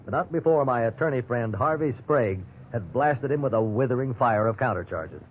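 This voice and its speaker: A man's voice: mid-range voice, animated, fairly quick, natural voice. An American man acting in a 1950s radio drama as an ordinary, good-humored fellow telling of his wrongful arrest. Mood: wry, satisfied. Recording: tinny, hissy 1950s radio recording.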